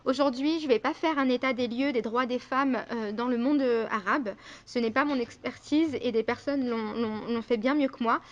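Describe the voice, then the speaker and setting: A woman's voice: sits high, muffled recording. A young woman giving a conference talk, earnest and often hesitating with fillers. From France. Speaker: invited speaker. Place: conference room.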